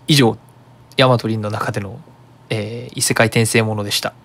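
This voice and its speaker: A man hosting a web radio show, explaining his opinions in a casual, animated monologue. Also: lowish voice, unhurried, creaky.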